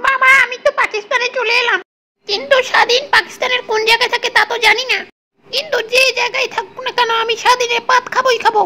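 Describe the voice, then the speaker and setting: A man voicing a cheeky, boastful young male cartoon troublemaker in a squeaky comic voice, given to taunts and exclamations. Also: fairly even intonation, fast, pushed and bright, full character voice. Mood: uncertain. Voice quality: squeaky, very high-pitched cartoon voice.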